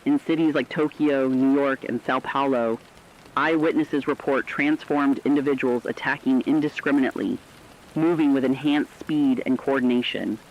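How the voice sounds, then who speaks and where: A man's voice: mid-range voice, normal speed, lightly acted. Serious man playing a reporter, dull lo-fi radio sound. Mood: grave, urgent. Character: grave radio news reporter announcing a global catastrophe. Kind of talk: scripted emergency news broadcast.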